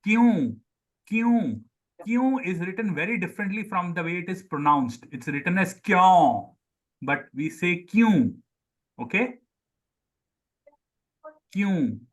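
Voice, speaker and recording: A man with an Indian accent and a somewhat high voice leads an online language lesson. A native Sindhi speaker, he repeats words for his pupils and explains them as he goes. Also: expressive, takes it slowly, long pauses. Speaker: Hindi teacher.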